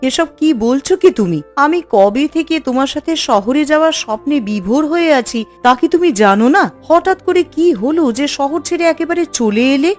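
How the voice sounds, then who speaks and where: A woman voicing a caring, anxious village wife in a cartoon folk tale, speaking with expressive concern. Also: high voice, moderate pace, hardly any pauses, faint music behind. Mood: dismayed, anxious. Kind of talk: cartoon fairy-tale dialogue.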